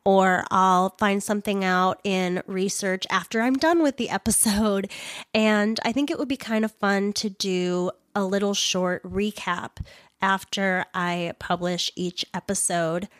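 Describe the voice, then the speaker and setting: A woman's voice: medium pitch, a little slow, no room sound, studio quality. A woman with a head cold, sounding congested, chatting casually and a little apologetically as a solo podcast host.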